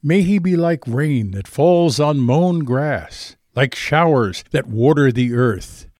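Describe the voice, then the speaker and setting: A man recites scripture solemnly in a mid-pitched voice. His delivery is slow and declamatory, with wide rises and falls in intonation. Each phrase is measured. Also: lively intonation, darkish tone, dry recording, studio quality. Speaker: scripture reader.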